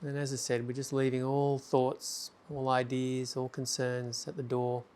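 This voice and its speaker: A man leading a guided meditation, giving slow, gentle instructions with long pauses. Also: mid-range voice, completely flat delivery, clear, resonant tone.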